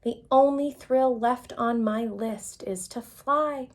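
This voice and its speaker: A wistful elderly great-aunt from a children's storybook, read by a woman. She muses gently and takes her time over each line. The voice sits fairly high. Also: takes it slowly.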